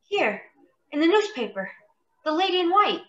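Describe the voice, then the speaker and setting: A woman's voice: extremely high pitch, sing-song, moderate pace, a bit bright, dull audio, slight background noise, heavily acted. A woman playing an anxious, earnest young lady in a radio play. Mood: concerned, startled. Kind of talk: stage-style radio play acting.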